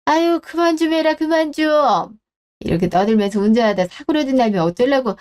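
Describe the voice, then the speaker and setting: A woman's voice: sits high, expressive, normal speed, darkish tone, clear, resonant tone. A woman playing an elderly, doting mother and grandmother in a radio drama, apologetic, sighing and rambling as she talks. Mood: weary pleading. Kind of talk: Korean radio-drama story acting.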